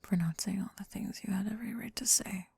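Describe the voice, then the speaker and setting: A woman playing a remorseful, vulnerable young woman in an intimate emotional ASMR roleplay, speaking haltingly and tenderly in a breathy, often whispered voice, close to the mic. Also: mid-range voice, dark tone, no room sound. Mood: tender, sleepy. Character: remorseful, vulnerable young woman confessing to someone she rejected. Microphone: close, dry microphone.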